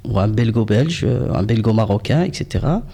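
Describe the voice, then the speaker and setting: A man's voice: lowish voice, animated, a little fast, gravelly, dark tone, slightly rough. A man interviewing a guest, asking questions in searching phrasing and murmuring agreement often. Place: TV studio.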